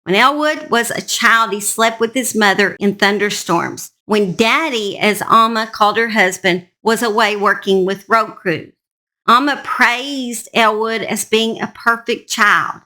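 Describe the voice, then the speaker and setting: A woman's voice: fairly high pitch, measured pace, pushed and bright. A woman with a Southern US accent, earnestly narrating true crime stories as an online host. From Southern United States. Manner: earnest, serious.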